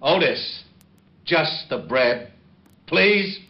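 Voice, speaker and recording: Middle-aged American police lieutenant, gruff, exasperated, animated intonation, radio detective drama, muffled vintage recording. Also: male voice, on the high side, drawn-out words, heavily acted. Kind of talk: old-time radio drama acting.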